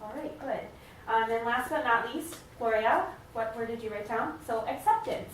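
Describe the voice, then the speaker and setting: A woman's voice: a little high, normal speed, natural voice. A woman playing an encouraging, careful family therapist in a role-played session, speaking hesitantly and affirmingly. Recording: very echoey room, distant mic, faint noise.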